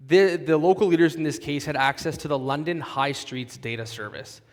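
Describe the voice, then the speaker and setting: A man presenting on a conference stage, his voice at an ordinary middle pitch. He talks in a polished, explanatory way with the odd restart. The sound carries the long reverb of a big hall. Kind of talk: conference talk and onstage interview.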